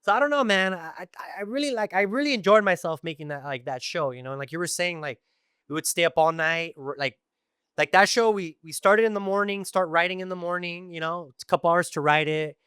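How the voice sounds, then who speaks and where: A middle-aged man from Tijuana and East Los Angeles, a podcast guest telling stories in a casual, animated way with lots of fillers. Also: sits high, fairly quick, clear, resonant tone, dry recording, clean studio sound. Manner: animated storytelling with many fillers.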